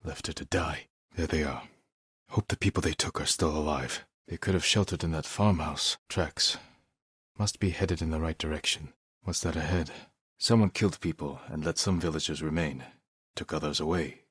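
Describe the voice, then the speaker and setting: A brooding samurai lord from a video game, with a low, slightly husky voice. He is stern and grave, speaking in measured, clipped phrases, recorded dry and close. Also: no echo, exaggerated voice. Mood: stern, resolute. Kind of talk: dramatic video game voice acting.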